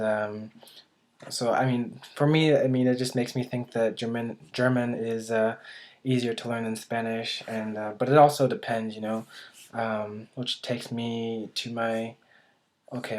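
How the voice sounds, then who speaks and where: A young man from the United States talking casually to camera about learning languages, hesitant and thinking aloud with many restarts. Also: mid-range voice. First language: English. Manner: thinking aloud, self-correcting. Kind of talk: casual unscripted talk to camera.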